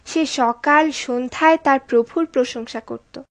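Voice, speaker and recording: A woman's voice: sits high, unhurried. A woman narrating a scripted cartoon story as a storyteller for young children. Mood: storytelling. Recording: clear background noise behind narration.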